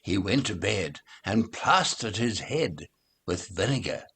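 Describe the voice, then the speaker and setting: A man reciting children's nursery rhymes in an expressive, theatrical, playful way. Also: lowish voice, husky. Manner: slow, theatrical, playful delivery.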